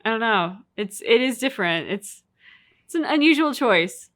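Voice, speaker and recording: A woman's voice: fairly high pitch, lively intonation, moderate pace, fairly bright tone. A woman casually talking through her reactions to a game as a reaction show host.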